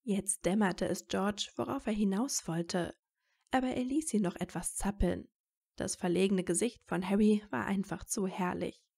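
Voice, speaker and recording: Woman narrating a story, mid-pitched voice. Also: normal speed, creaky, dark tone, breathy edge. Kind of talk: scripted narration of fan fiction story.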